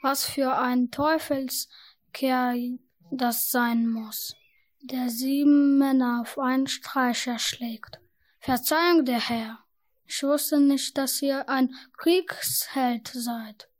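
A woman's voice: high voice, fairly even intonation, takes it slowly. A woman playing a male palace guard in an amateur fairy-tale radio play, formal, stern and easily awed. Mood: awed, deferential.

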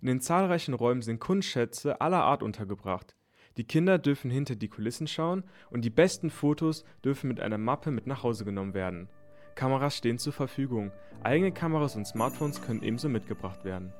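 A man's voice: medium pitch, lively intonation, a little fast, faint music behind. Man reading children's radio news. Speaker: newsreader.

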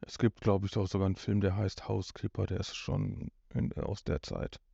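A man's voice: sits low, level tone, normal speed, vocal fry, mellow, slightly rough, no echo, highs cut off. A man co-hosting a podcast, chatting casually and jokingly about an audio drama. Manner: joking, mimicking. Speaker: podcast co-host.

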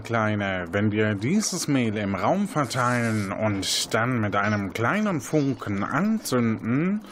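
An actor playing a quirky lab inventor in an interactive audio drama, chatty, sardonic and a little condescending. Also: male voice, mid-range voice, slight background noise.

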